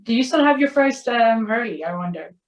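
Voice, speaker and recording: A woman's voice: a little high, animated, a little fast. A woman with a South African accent, asking interview questions in a chatty way, with lots of "like". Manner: chatty, heavy use of "like". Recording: echoey room.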